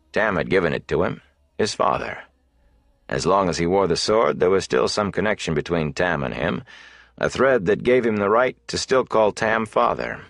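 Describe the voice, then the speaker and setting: A man narrating a fantasy audiobook, telling the story in a measured, deliberate, dramatic way. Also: low voice, gravelly, a bit bright, no room sound, clean studio sound.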